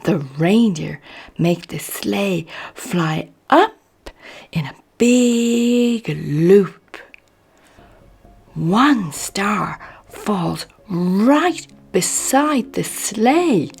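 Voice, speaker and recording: A woman reading a children's picture book aloud in an expressive, measured bedtime storytelling manner. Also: mid-range voice, gravelly, slightly rough, faint music behind.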